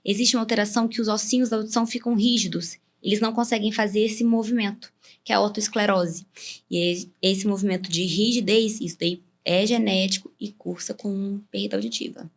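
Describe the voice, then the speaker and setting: A woman's voice: mid-range voice, steady tone, fairly quick. A woman ear, nose and throat doctor with a Brazilian Portuguese accent, explaining health matters step by step with simple comparisons as a podcast guest. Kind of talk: explanatory interview talk.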